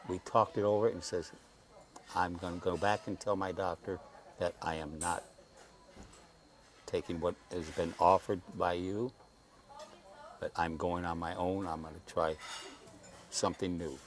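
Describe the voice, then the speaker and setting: A man in his eighties talks slowly as a talk-show guest, his voice sitting somewhat low. He halts often and repeats words while he finds his thread. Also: little pitch movement, slight background noise. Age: 80s. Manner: slow, halting, repeats words while searching. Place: Saipan.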